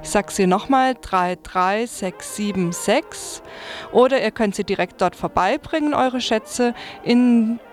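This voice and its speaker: A woman's voice: on the high side, a little slow, faint music behind. A woman presenting on radio, reading out event listings and announcements from notes in an informal way.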